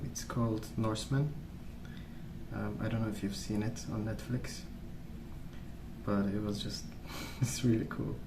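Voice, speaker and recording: A man speaking softly in a rambling ASMR style, hesitant and thinking aloud as he goes. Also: on the low side, gravelly. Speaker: ASMR video creator. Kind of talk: soft-spoken ASMR rambling while colouring. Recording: roomy, distant mic, noisy background.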